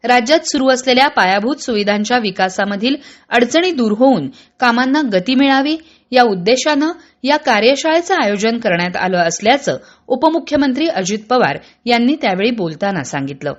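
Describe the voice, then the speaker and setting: A woman from reading scripted radio news in a formal, measured manner. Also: fairly high pitch, animated, muffled recording. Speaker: radio newsreader.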